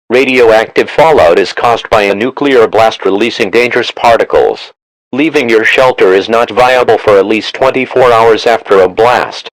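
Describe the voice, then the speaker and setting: Low synthetic male emergency alert announcer. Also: no echo, low-quality recording. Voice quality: synthetic text-to-speech voice. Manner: flat, formal, automated delivery. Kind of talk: scripted emergency broadcast announcement.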